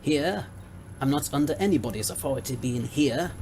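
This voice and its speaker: A man's voice: medium pitch, animated, moderate pace, a little mellow, a little hiss or hum, natural voice. A man playing a haughty, impatient alien scientist in an audio drama, speaking in a precise, lecturing way. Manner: precise, lofty, indignant lecturing.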